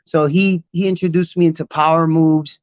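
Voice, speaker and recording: A man's voice: fairly high pitch, flat delivery, normal speed, smooth, dry recording, lo-fi sound. Laid-back Puerto Rico-born man, rambling. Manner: loose, rambling storytelling with many fillers. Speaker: guest b-boy. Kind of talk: casual interview chat.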